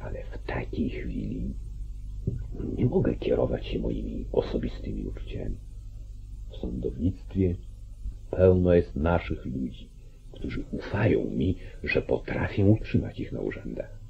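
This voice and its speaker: An actor playing a calculating, commanding judge and politician in an old radio play, speaking deliberately. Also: male voice, a bass voice, very animated, gravelly, heavily acted. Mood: scheming, commanding. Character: calculating, domineering small-town judge, older male politician. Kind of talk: mid-century Polish radio play acting. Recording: muffled, narrow-band 1940s radio recording.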